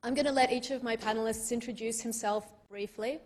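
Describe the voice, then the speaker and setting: A woman's voice: a little high, fairly even intonation, fairly quick, a little mellow. A woman from Australia moderating a tech panel in a dry, witty, articulate way. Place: auditorium.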